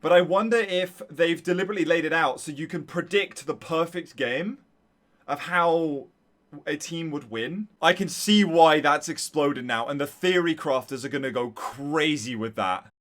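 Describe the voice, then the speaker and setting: A man giving casual live-stream reaction commentary, excitable and thinking out loud to his viewers. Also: on the high side, normal speed. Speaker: streamer reacting to game voice lines.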